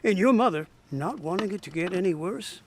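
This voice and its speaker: A hearty, bossy small-town barber from the 1930s in a radio drama, his voice high for a man. A faint hiss lies behind him. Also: expressive, a little fast. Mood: storytelling. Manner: hearty, scolding.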